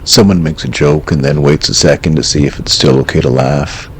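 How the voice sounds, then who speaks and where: A man playing a calm, wry host of an eerie late-night radio call-in show, speaking in a measured, reflective, understated way. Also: rumbling low, a little hiss or hum.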